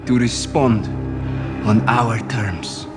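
A man's voice: medium pitch, music in the background, natural voice. A man playing a menacing, grandiose Russian ultranationalist warlord with a Russian accent in a video game cutscene. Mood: cold menace. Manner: grandiose, measured, menacing oratory. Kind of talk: cinematic villain monologue in a video game.

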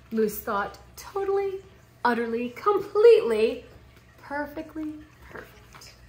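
High-pitched, very animated woman reading a storybook. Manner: animated, theatrical, drawn-out emphasis. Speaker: teacher reading a picture book aloud. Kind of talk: expressive children's storybook read-aloud. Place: living room at home, indoors. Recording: roomy home recording.

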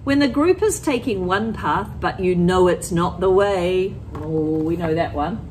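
A middle-aged woman teacher reading a picture book aloud to children, with deliberate, expressive phrasing, talking directly to her young listeners. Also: lowish voice, slight background noise, poor audio. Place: empty school classroom, indoors.

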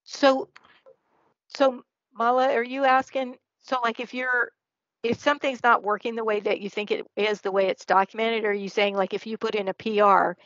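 A woman's voice: fairly high pitch, fairly even intonation, moderate pace, a bit bright, dry recording. A woman presenter giving a slide presentation and answering questions over a video call. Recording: slightly dull online meeting audio.

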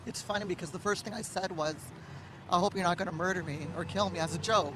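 A woman's voice: fairly low pitch, quick pace. A woman speaking candidly into a reporter's handheld mic out on a city street. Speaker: interviewee. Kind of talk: street interview. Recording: street noise.

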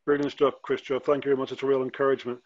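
Brisk man hosting a video call. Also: medium pitch, flat delivery. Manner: brisk and encouraging. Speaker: host. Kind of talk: casual chat. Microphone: video call headset. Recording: dry, dull lo-fi call audio.

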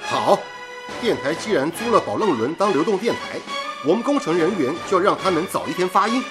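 A cheerful, easygoing radio engineer in a wartime drama. His voice is high and lively, breezy in delivery, with music clearly behind it. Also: a little fast. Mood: upbeat. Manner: breezy, jocular.

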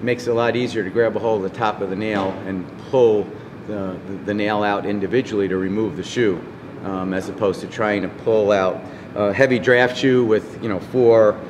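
A man in his fifties with a lowish voice talks through a hands-on demonstration, pausing with fillers and restarting phrases. His voice carries a long hall reverb over a clear bed of background ambience from a convention booth. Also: fairly even intonation, background noise. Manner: explains with many fillers and restarts while handling shoes and nails. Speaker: farrier clinician. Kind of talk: informal product explanation. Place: convention booth with curtains, indoors.

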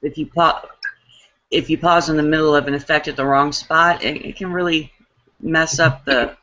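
A woman's voice: deep voice, fairly even intonation, normal speed, sharp tone, highs cut off. A middle-aged woman interviewing a guest over a video call, chatting and reading out viewers' questions. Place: room at home, indoors. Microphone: webcam on a video call.

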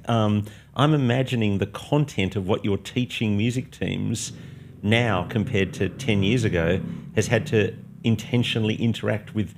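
Deep, gravelly older male host. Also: normal speed, noisy background. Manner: probing, conversational, frequent "mm-hmm" backchannels. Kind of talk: interview questions. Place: studio set with armchairs. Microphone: boom-mounted podcast mic.

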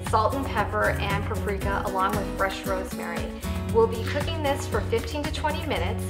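A woman in her thirties with an American accent, explaining a recipe step by step in a conversational way as a cooking show host. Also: medium pitch. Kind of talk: instructional cooking demonstration. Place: home kitchen, indoors. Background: background music.

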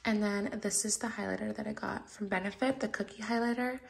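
A young woman, talking in a soft, half-whispered way as she unboxes makeup at home in a casual vlog. Her voice is raspy. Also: mid-range voice, steady tone, a little fast, noisy background. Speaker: vlogger.

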